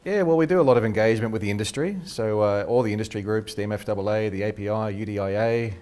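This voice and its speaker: A middle-aged Australian man, a research head being interviewed, talks quickly and eagerly and restarts his sentences a lot. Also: lowish voice, a little mellow. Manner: quick, eager, repeats words when starting sentences. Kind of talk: casual interview talk. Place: radio studio.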